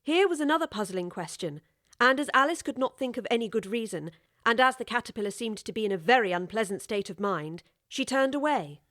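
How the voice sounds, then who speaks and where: A woman narrating a scripted storybook audiobook in a measured, articulate way. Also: on the high side, lively intonation, fairly bright tone, dry recording, clean studio sound.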